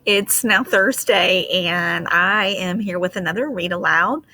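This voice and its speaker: A woman's voice: lowish voice, measured pace, pushed and bright. Woman reading a picture book aloud. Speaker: teacher reading aloud to children.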